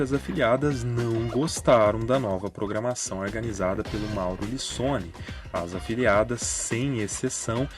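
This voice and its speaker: Brazilian man narrating over clear background music. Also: medium pitch. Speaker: narrator of a TV news commentary video. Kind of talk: news commentary narration.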